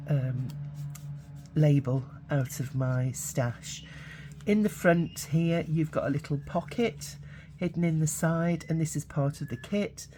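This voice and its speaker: Hoarse woman host with a cold, low mellow voice, relaxed chatty delivery full of fillers, faint hum behind. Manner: chatty, halting, many fillers. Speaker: craft channel host. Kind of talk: casual craft flip-through.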